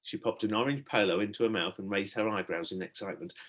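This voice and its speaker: A man narrating a children's storybook in an expressive, dramatic storytelling manner, like a teacher reading aloud. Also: on the low side, quick pace.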